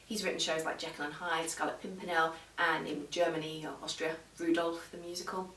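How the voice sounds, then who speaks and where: A woman giving an informal, measured review straight to viewers, explaining things as she goes. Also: low-pitched, flat delivery, a bit bright, slight background noise. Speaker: video reviewer. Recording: roomy echo, distant microphone.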